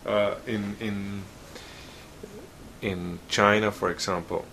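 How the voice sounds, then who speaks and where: A man's voice: lowish voice, flat delivery, slow, vocal fry, a bit bright, slight background noise. German-native man speaking English, hesitant. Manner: hesitant, with repeated restarts. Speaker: guest. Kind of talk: casual chat.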